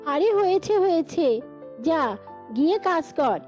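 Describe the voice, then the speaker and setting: A woman's voice: very high-pitched, lively intonation, takes it slowly, a little mellow, exaggerated voice. A woman voicing a bossy, scolding household employer, curt and threatening, in a cartoon. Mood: irritated. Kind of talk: Bengali cartoon dialogue. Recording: dull lo-fi sound with music.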